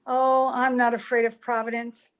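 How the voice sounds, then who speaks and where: Woman playing high-voiced romantic young bride. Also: little pitch movement, moderate pace, lightly acted. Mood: elated, dreamy. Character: romantic, breathlessly happy young bride, naive and anxious. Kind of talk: old-time radio drama acting.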